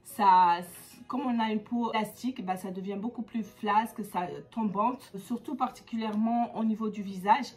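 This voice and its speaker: A woman's voice: mid-range voice, steady tone, normal speed. Chatty woman with a stuffy nose. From Comoros. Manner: chatty, many hesitations. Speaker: beauty vlogger. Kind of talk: casual how-to vlog.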